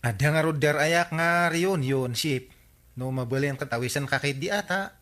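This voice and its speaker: An actor playing an excitable, easygoing village captain in an Ilocano radio comedy, with an Ilocano accent and a puzzled, exclamatory manner. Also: male voice, a little high, moderate pace, lightly acted. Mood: chatty. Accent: Filipino, Ilocano.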